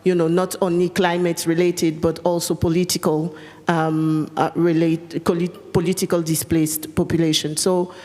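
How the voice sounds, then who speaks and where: A woman's voice: lowish voice, level tone, moderate pace, darkish tone. A woman whose first language is French, answering earnestly on a conference panel, with many fillers and repeated phrases for emphasis. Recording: echoey conference hall.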